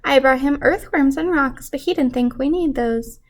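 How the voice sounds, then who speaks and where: A woman reading a picture book aloud to children in an animated way, pausing to put questions to young listeners. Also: high voice. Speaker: children's story reader.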